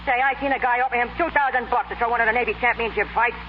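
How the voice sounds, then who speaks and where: An actor playing a pushy, slangy Brooklyn fight manager posing as a butler, in 1930s radio comedy style. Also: male voice, extremely high pitch, fairly even intonation, rapid-fire. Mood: cocky. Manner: pushy, slangy, ungrammatical tough talk. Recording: scratchy, muffled 1930s radio recording.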